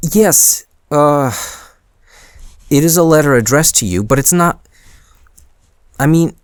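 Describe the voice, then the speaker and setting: A man's voice: a little high, no room sound, clean studio sound. A man playing a shy, smitten young man in an intimate boyfriend roleplay audio, speaking hesitantly with bashful stammers. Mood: flustered, embarrassed.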